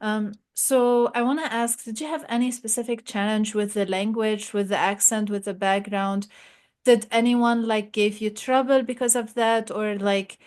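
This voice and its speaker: A woman's voice: medium pitch, fairly even intonation, moderate pace, darkish tone. A woman interviewing a fellow graduate student, chatty and full of "like" fillers. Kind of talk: casual interview.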